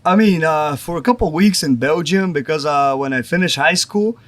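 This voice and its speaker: A man's voice: a little high, normal speed, no echo. A young man from Bulgaria, a pro wrestler, chatting casually in an interview and leaning on "like" a lot. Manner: rambling, says "like" often.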